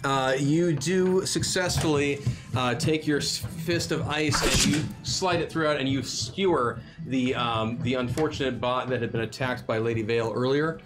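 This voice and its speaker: A man's voice: medium pitch, normal speed, over music. A man running a live tabletop game as game master, loose and chatty, with many ums and easy laughs.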